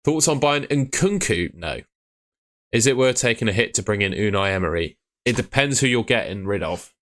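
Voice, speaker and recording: A man's voice: fairly low pitch, lively intonation, normal speed, fairly bright tone. A young man who is losing his voice, streaming from his room at home and answering viewer questions in a blunt, chatty way. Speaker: fantasy football streamer.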